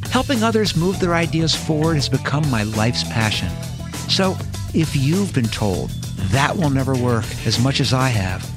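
A man in his fifties hosting a podcast interview, enthusiastic and talkative, moving from a scripted intro into easy conversation. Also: mid-range voice. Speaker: podcast host.

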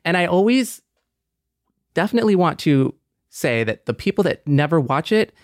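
A man's voice: fairly high pitch, very animated, moderate pace, dry recording, clean studio sound. A man speaking casually as a panelist in a podcast conversation.

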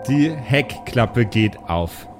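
A man's voice: mid-range voice, animated, extremely slow, over music. A man narrating an improvised tabletop audio play as game master.

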